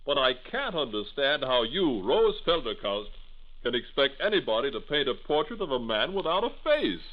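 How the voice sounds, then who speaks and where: Confident young suitor, very animated, man acting. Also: mid-range voice, normal speed, highs cut off, natural voice. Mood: teasing, incredulous. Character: confident, teasing young painting student suitor. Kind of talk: 1940s radio drama acting. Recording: thin, band-limited old radio recording.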